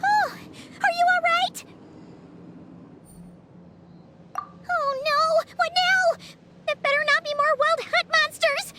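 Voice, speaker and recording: A woman voicing a small, excitable, childlike fairy companion in a video game, exclaiming and calling herself by name. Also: very high-pitched, a little slow. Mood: worried. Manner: speaks of herself in third person, exclaiming. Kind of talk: video game character acting. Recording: loud music behind the voice.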